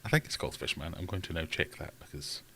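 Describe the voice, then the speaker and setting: A man's voice: rumbling low, quick pace, creaky, bright, forceful tone, slight background noise. A man hosting a casual livestream from home, rambling and self-deprecating as he reads out chat comments. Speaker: livestream host.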